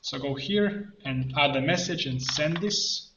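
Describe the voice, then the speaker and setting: A man presenting an informal screen-share tutorial, thinking aloud step by step in halting phrases. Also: fairly high pitch, a bit bright. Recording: roomy echo, muffled band, background noise. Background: keyboard typing and mouse clicks.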